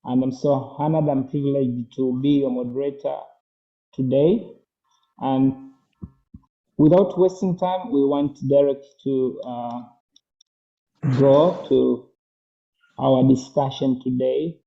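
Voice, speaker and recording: A man's voice: medium pitch, steady tone, slow, gravelly, long pauses. A man from Tanzania moderating an online panel in a polite, formal way. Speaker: moderator, mental health therapist. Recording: muffled lo-fi audio.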